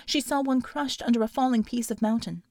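Female audiobook narrator, fairly high voice, dramatic scripted storytelling, very dry studio-like sound. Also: a little fast, a little mellow, dry recording.

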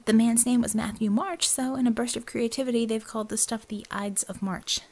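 A woman's voice: on the high side, fairly even intonation, a little fast, a little mellow. Woman playing a blunt, dry-witted heroine. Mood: matter-of-fact. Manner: dry, blunt, matter-of-fact.

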